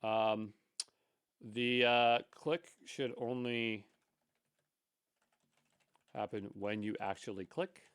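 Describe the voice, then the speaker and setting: Man thinking aloud, clear dry voice. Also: lowish voice, fairly even intonation, drawn-out words, long pauses, fairly bright tone, no echo. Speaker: tutorial instructor. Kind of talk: unscripted screencast coding tutorial, thinking aloud.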